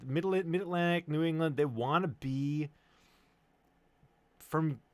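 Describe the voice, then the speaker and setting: A man's voice: on the high side, measured pace, dry recording. A man chatting informally in a group, with wry, joking remarks. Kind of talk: casual group chat.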